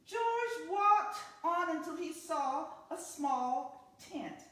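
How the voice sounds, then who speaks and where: Slow, very animated woman storyteller, echoey recording. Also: very high-pitched. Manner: expressive, deliberate. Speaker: library story time reader. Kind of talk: animated children's story reading. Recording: echoey room, distant from the mic.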